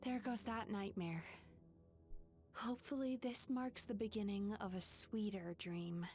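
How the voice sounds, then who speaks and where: A woman voicing a calm, measured female dream-eating spirit who heals in a game. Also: mid-range voice, soft background music, natural voice.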